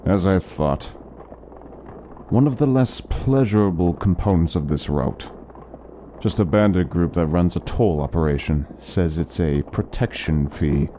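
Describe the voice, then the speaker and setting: A man playing a courteous, learned doctor in a fantasy audio drama, speaking formally and deliberately with some hesitance. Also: low-pitched, expressive, dark tone, slight background noise. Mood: low, urgent. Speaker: voice actor in an interactive fantasy audio story. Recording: music behind the voice.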